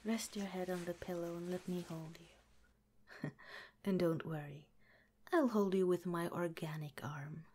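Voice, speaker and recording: A woman voicing a gentle, caring female head scientist in an ASMR roleplay, whispering softly and reassuringly. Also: fairly low pitch, fairly even intonation, unhurried, pauses often. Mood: soothing. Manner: soft, tender, reassuring. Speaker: institute director in an audio roleplay.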